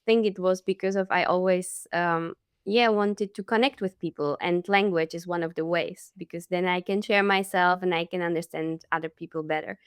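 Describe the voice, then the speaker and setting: A young woman from the Czech Republic speaks as a podcast guest with a smooth, clear voice. She is friendly and laughs easily as she recounts her path, and the sound is dry and close. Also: on the low side, steady tone, normal speed, hardly any pauses, no room sound. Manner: friendly, laughs often while telling her story. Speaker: language coach guest. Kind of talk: casual interview chat.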